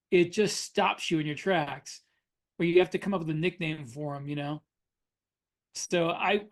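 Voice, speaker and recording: A middle-aged man co-hosting a podcast as an author, talking casually in an anecdotal, explanatory way. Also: high voice. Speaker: podcast co-host and science fiction author. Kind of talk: casual conversational discussion.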